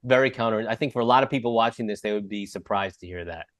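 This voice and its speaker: A man's voice: fairly low pitch, fast talker, fairly bright tone. A man hosting an interview, asking curious, prompting questions about singing. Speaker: host.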